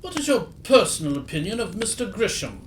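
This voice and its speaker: A man acting a courteous, earnest Victorian gentleman in an audio play, speaking formally. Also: high-pitched, very animated, fairly quick. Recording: faint background noise.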